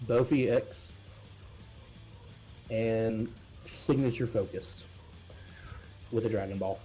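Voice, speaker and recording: A man's voice: lowish voice, takes it slowly, dark tone. A man playing a tabletop role-playing game, talking informally and thinking aloud as he describes his moves. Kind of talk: casual game-table talk. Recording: faint music behind the voice.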